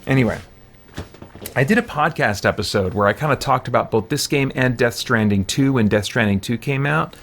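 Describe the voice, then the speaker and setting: A man's voice: mid-range voice, fairly quick, fairly bright tone. A man chatting casually on a gaming livestream, thinking aloud in a rambling, hesitant way and sounding tired late in a marathon. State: tired near end of all-night marathon. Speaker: game streamer.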